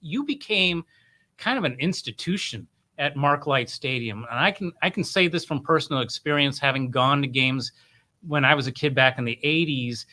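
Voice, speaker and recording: A man's voice: mid-range voice, lively intonation, moderate pace, sharp tone. A middle-aged man hosting an interview, asking questions in a casual, conversational style. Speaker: interview host.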